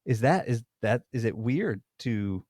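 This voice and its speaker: A man's voice: medium pitch, expressive, a little slow, darkish tone, dry recording, studio quality. A man co-hosting a podcast, talking hesitantly and questioning himself in casual conversation. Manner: hesitant, self-questioning, restarts. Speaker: podcast co-host.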